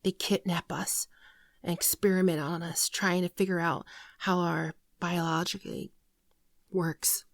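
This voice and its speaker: A woman voicing a gentle, wounded shapeshifter alien in a soft, earnest, confessional ASMR roleplay, often close to a whisper. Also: fairly low pitch, flat delivery, a little slow, creaky, no room sound. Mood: sorrowful, bitter. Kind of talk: ASMR roleplay monologue, scripted.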